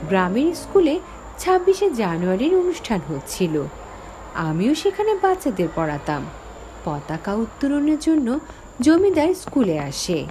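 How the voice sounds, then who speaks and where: A woman's voice: high-pitched, warm tone, a little hiss or hum, low-quality recording. A woman voicing the sorrowful ghost of a young village teacher, telling her story dramatically in a horror cartoon. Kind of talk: dramatic first-person horror story narration. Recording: background music under the voice.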